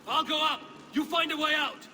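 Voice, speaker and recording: A man playing a proud, battle-hardened Viking warrior in a game cutscene, speaking in measured, formal, poetic phrases. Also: very high voice, fairly even intonation, bright, forceful tone, full character voice. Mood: urgent. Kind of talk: dramatic video game cutscene acting.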